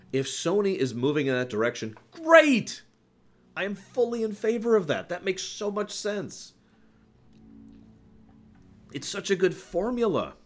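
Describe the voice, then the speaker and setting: A man hosting a livestream, chatting casually and opinionatedly with his viewers in an emphatic, conversational way. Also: medium pitch. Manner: emphatic, conversational, breaks off to address chat. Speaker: livestream host.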